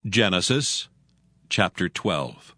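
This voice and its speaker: A man narrating scripture for a dramatized Bible reading in a measured, formal way. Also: deep voice, lively intonation, sharp tone, no echo, studio quality.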